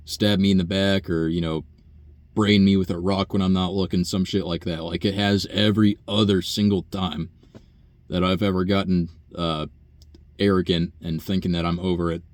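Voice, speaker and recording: A young man talking through a rambling, casual personal monologue, profane and full of fillers and sighs, with a low buzzy voice. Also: no room sound. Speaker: vlogger.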